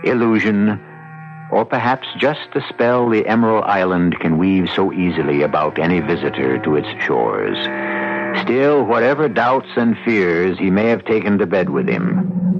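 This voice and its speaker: Deep, theatrical radio mystery host. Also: male voice, vocal fry. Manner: theatrical, deliberate. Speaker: host and narrator. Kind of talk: old-time radio mystery narration. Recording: muffled old radio recording, loud music.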